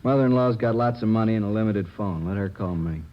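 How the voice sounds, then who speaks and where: Male, old-time radio: wry, casual cop played by a man, fast patter in a deep voice. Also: close to an everyday voice. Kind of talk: 1940s-50s radio drama acting. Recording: dull, faintly noisy old radio recording.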